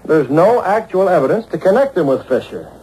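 A decisive secret crime fighter in a vintage radio drama. He is brisk and commanding with animated intonation, on an old broadcast tape with faint noise. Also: high voice, poor audio. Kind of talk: 1940s radio drama acting.

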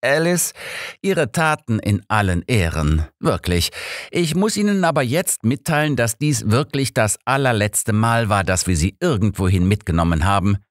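Man voicing a blunt old detective, pitched up. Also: lively intonation, normal speed, no room sound, clean studio sound, lightly acted. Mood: exasperated. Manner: blunt, cool, authoritative. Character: old male detective, cool, blunt, exasperated, clipped. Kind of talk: audiobook character dialogue.

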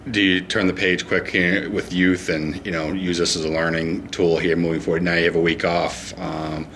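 A man's voice: low voice, little pitch movement, moderate pace, a bit bright, a little hiss or hum. A man interviewing a coach after a game, recapping and asking questions. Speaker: sports interviewer.

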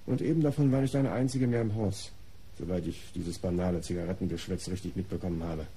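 An actor playing a defensive, disdainful art patron under police questioning in a German radio crime play, articulate, formal and self-justifying. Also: male voice, low-pitched, fast, gravelly, warm tone, slight background noise. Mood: dry, disdainful.

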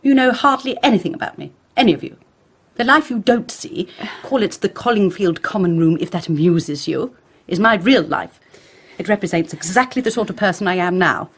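An actress in a radio play as a sharp, embittered English schoolmistress who lectures disapprovingly in clipped phrases. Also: female voice, mid-range voice, animated, fairly quick, husky. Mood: cold, defiant. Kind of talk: radio drama acting.

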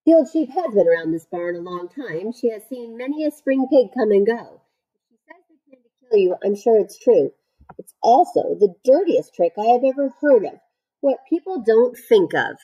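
A woman's voice: on the high side, very animated, moderate pace, warm tone, slightly rough, highs cut off, voice pushed up. A woman voicing a clever, no-nonsense female spider in a storybook, firm, composed and commanding. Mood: thoughtful, indignant.

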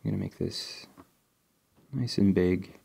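Deep-voiced, mellow, slightly sniffly male tutorial host; easygoing, explains as he clicks. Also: unhurried, creaky, slightly rough. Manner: relaxed, step-by-step, thinking aloud with fillers. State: sniffly, getting over a cold. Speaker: game development tutorial host. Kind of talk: screen-recorded coding tutorial.

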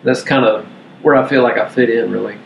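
A man's voice: mid-range voice, steady tone, brisk, creaky, a little hiss or hum. A man speaking hesitantly and modestly in a casual discussion. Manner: hesitant, modest, soft-spoken. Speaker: podcast guest. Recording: dull, roomy recording.

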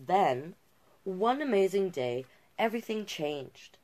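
A woman's voice: mid-range voice, sing-song, slow pace, darkish tone. A middle-aged woman hosting storytime, reading a picture book aloud expressively and adding savouring asides of her own. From Canada. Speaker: drag king storytime host. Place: small cluttered indoor room.

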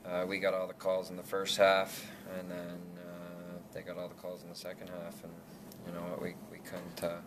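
A young man in his twenties, a lacrosse player, answering reporters after a game in a halting way with many restarts. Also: deep voice, monotone. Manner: halting, many restarts and fillers, laughs self-consciously. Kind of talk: post-game media scrum. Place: press backdrop room indoors. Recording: noisy room ambience.

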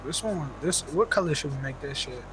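A man's voice: fairly high pitch, lively intonation, a little fast. A young man from New Jersey chatting casually and informally as a fellow player, with slang and swearing. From New Jersey, United States. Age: young adult. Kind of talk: casual gameplay chat. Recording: clear background noise, game car sounds.

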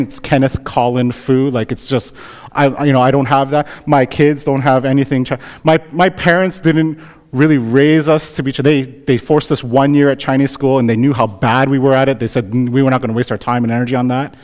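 A man's voice: medium pitch, steady tone, fairly quick, a little mellow, big-room sound. A Canadian man, a pastor, delivering a conversational sermon to his congregation, often asking "right?". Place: church sanctuary.

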